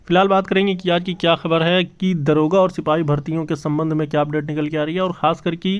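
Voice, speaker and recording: Earnest man, smooth lo-fi voice. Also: on the high side, flat delivery, quick pace. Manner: earnest, repeats points, many fillers and restarts. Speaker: channel host giving job exam news. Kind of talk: casual news update to camera. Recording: slightly dull lo-fi audio.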